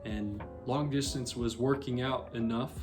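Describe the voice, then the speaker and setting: A man's voice: medium pitch, steady tone, measured pace, music in the background. A young man from Colorado co-hosting a casual couple chat, easygoing and joking as he tells a story. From Colorado, western United States. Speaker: co-host.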